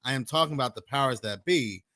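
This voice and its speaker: Hoarse American man explaining steadily. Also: mid-range voice, quick pace, sharp tone, dry recording, clean studio sound. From United States. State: hoarse, sore throat. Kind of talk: explanatory conspiracy commentary.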